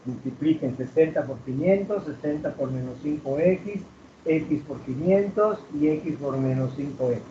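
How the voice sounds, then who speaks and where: A male teacher lectures a class from across an echoing classroom, his voice caught from a distance on a muffled recording. He keeps asking his students questions and stretches out the key words. His tone is mellow and slightly high for a man. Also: a little slow, a little hiss or hum. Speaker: math teacher.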